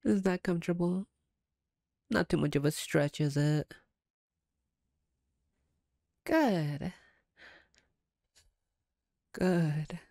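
A woman's voice: fairly low pitch, slow pace, creaky, long pauses, mellow, no room sound, clean studio sound. A woman playing a playful, domineering female vampire captor in an intimate ASMR roleplay, teasing and sardonic. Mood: bossy, coaxing.

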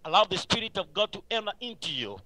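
High-pitched, bright-voiced man preaching. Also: very animated, quick pace, no room sound, poor audio. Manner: emphatic, repetitive exhortation. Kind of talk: live radio sermon.

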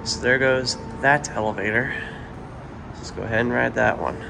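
A man talks through his elevator ride in a plain, matter-of-fact way, filming on a handheld phone. His medium-pitched voice sits over clear background noise from the parking garage. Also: steady tone, normal speed. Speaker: elevator video host. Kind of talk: casual narration while filming. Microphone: handheld phone camera.

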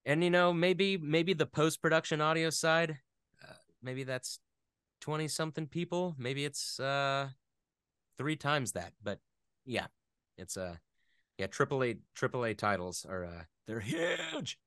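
A man's voice: a little high, a little slow, fairly bright tone, smooth, no echo, studio quality. A man speaking as an interview guest in a casual, thoughtful, slightly rambling way. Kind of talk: casual chat.

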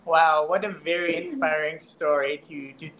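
A young man hosts an interview over a video call. He speaks haltingly, full of fillers and restarts. His voice is somewhat high, with a faint hiss of noise behind him. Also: a bit bright. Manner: halting, with many fillers and repeats. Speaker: host, interviewer. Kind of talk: online interview. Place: indoor room.

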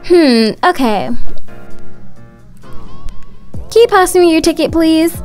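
A woman's voice: extremely high pitch, lively intonation, takes it slowly, heavily acted. A woman voicing a polite, businesslike stadium ticket attendant in a cartoon story. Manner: polite, procedural. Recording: music playing underneath.

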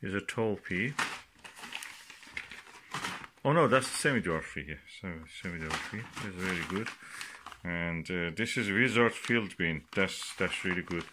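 A man giving casual, unscripted commentary as he shows items, speaking haltingly in short phrases with pauses between them. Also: low-pitched, animated. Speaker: gardening vlogger. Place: indoors at a work table. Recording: noisy home recording with clicks and rustling.

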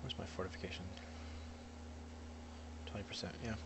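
A man's voice: sits low, fairly even intonation, moderate pace, very noisy. A congested man with a cold, chatting casually and muttering to himself while playing a fantasy game. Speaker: gameplay commentator. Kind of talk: casual gameplay commentary.

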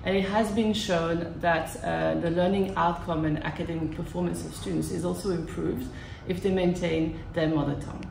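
A woman in her thirties, a school language program coordinator, answering interview questions in a measured way with many fillers, seated in a classroom. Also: fairly low pitch, steady tone, creaky, noisy background. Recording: echoey classroom with music behind.

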